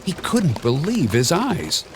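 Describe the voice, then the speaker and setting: Animated male storybook narrator, slow-paced. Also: a little high, background noise. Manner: measured, expressive storytelling with clear simple phrasing. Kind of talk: scripted children's read-along storybook narration.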